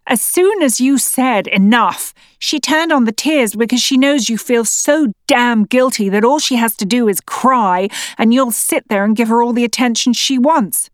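A woman's voice: a little high, animated, a little slow, dry recording. Woman doing an angry stepmom arguing back hard, raised voice, dry close sound. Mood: indignant. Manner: sharp, indignant, insistent. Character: furious defensive stepmother in a family fight.

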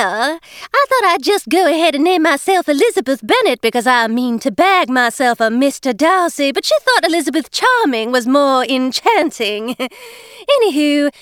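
A woman's voice: high voice, fairly bright tone, no room sound, clean studio sound, voice pushed up, exaggerated voice. A woman reading aloud, voicing a chirpy woman in her fifties who is an American faking a British accent and overpronouncing her words. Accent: American trying to sound British. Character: gushing fiftyish woman faking British airs.

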